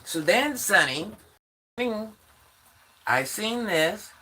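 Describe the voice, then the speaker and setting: An older woman hosts a casual phone livestream in a deep, forceful voice. She sounds stuffed up from allergies and chats straight to her viewers, with faint noise behind her. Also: big pitch swings, extremely slow, poor audio. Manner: chatty, talks straight to viewers. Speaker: livestream host.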